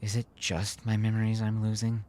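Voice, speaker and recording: Man acting a weary, shaken fugitive, low-voiced. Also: monotone, normal speed, a little mellow, lightly acted. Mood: worried. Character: exhausted, shaken man on the run.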